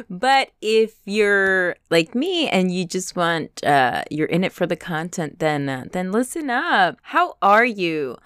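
A woman's voice: mid-range voice, clean tone, no echo, studio quality. A woman hosting her own podcast alone, talking off the cuff with lots of fillers and restarts, slipping in Spanish phrases, and sounding stuffy from a lingering cold. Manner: chatty, unscripted, many fillers and restarts, switches into Spanish phrases. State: stuffy from a cold, slight cough. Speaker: podcast host. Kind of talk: solo podcast monologue.

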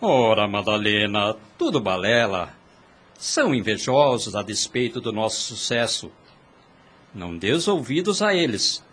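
An actor playing a proud, insistent young suitor in a radio soap opera, smooth-talking and florid, with a Brazilian accent. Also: male voice, fairly low pitch, sing-song, unhurried, fairly bright tone, heavily acted. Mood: dismissive. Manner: florid, theatrical, formal diction.

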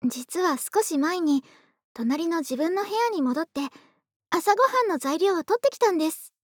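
Female actor: sweet, affectionate girl-next-door adult for ASMR roleplay; extremely high, gentle, polite delivery, dry close-mic sound. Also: expressive, moderate pace, no room sound. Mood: gentle, cheerful. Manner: soft, gentle, polite, intimate murmuring with breathy whispers. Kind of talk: ASMR situation voice drama. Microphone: close dry studio microphone.

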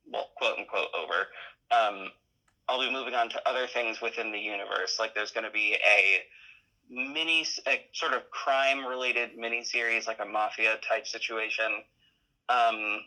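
A man chatting casually as an interview guest, halting and self-deprecating in how he talks. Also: mid-range voice, bright, forceful tone, poor audio. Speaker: podcast guest, audio drama creator.

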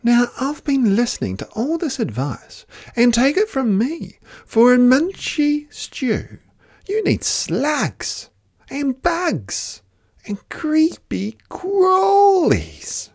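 Narrator doing a bossy bristly echidna guy, high voice, emphatic, storybook critter. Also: wildly swooping pitch, takes it slowly, heavily acted. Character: bristly, bossy male echidna giving advice.

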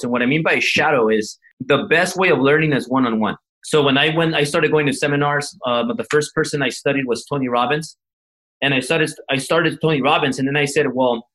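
Middle-aged man, native Spanish speaker, plain-spoken guest, mid-pitched voice, repeats himself and restarts. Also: fairly bright tone, rough recording. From United States. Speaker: guest entrepreneur. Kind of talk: candid storytelling in conversation.

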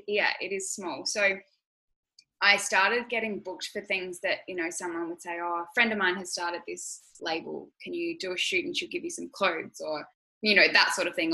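A woman's voice: on the low side, pushed and bright, poor audio. A young Australian woman speaking as an interview guest, candid and reflective, with lots of "you know" and "like". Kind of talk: conversational interview.

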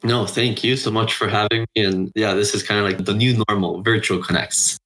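Low-voiced man from Honduras. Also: steady tone, a little fast, a bit bright, poor audio. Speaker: guest, district manager. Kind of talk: remote interview. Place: home. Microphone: budget lapel mic over video call.

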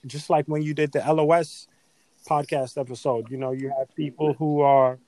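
Higher-voiced man in earnest casual conversation. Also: steady tone, normal speed, warm tone, no echo. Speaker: podcast co-host. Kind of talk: casual podcast discussion.

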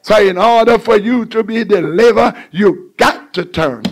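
A man's voice: high-pitched, a little slow. A man preaching a fervent call-and-response sermon, exhorting listeners and repeating phrases with emphasis.

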